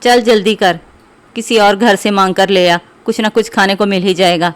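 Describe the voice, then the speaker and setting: A woman plays an older village mother-in-law from a cartoon moral tale. She is quarrelsome and commanding, nagging in a sharp, scolding way at a medium pitch. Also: steady tone, normal speed, clear, resonant tone, close to an everyday voice. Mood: irritated, nagging. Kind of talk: animated Hindi moral story acting.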